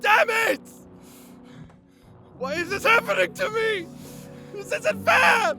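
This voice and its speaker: A performer playing an insecure, emotional young male wizard, earnest and halting, in a fantasy audio roleplay. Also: very high voice, pushed and bright, soft background music, exaggerated voice. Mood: desperate, pleading. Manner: halting, stammering, pleading.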